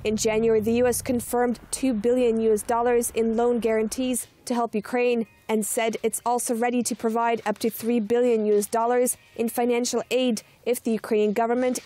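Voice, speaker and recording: Female news voice-over, steady even read, flat and mellow, slightly high. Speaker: news reporter. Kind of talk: scripted news narration.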